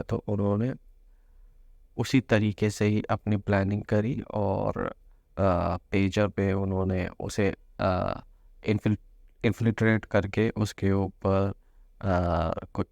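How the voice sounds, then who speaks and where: A man explains the news in an informal way. His voice sits low with a strong gravelly creak and stays fairly even in tone. He drops English terms into his commentary as he goes. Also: no room sound, studio quality. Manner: mixes Hindi with English terms.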